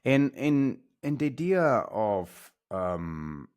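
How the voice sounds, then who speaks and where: A man's voice: mid-range voice, big pitch swings, extremely slow, darkish tone, clean tone. A man hosting an interview, talking hesitantly with many fillers and restarts. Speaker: podcast host. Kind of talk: casual interview.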